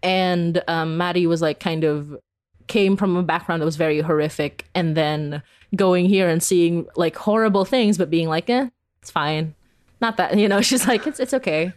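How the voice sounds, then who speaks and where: Chatty, giggly woman with a lowish voice. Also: normal speed. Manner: giggly, informal, peppered with "like". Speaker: interview guest, horror audio drama creator. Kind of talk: casual, chatty interview answers.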